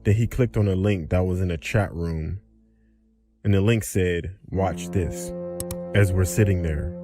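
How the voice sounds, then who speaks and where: Deep male voice, young adult, scary-story narration, prominent background music. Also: a little slow, mellow. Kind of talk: scripted first-person horror story narration. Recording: music bed behind voice.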